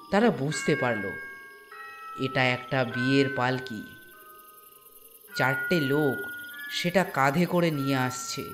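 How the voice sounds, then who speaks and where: A man narrating a scripted horror story, dramatic, with deliberate pauses. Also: mid-range voice. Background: music.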